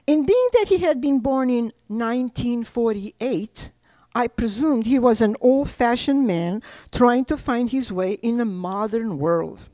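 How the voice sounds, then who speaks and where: An older woman from Portugal, whose first language is Portuguese, reads aloud from her own book at a library talk. Her voice sits high but mellow, and her pace is unhurried. The sound is dry and close. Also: animated, no echo. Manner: slow, deliberate reading. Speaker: author. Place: library presentation room.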